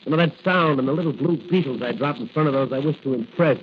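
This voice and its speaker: A man's voice: a little high, quick pace, natural voice. A man plays a young masked crimefighter, brash and jovial, sure of himself. The delivery is old 1940s radio heroics. The recording is slightly muffled with faint noise beneath. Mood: cheerful, confident.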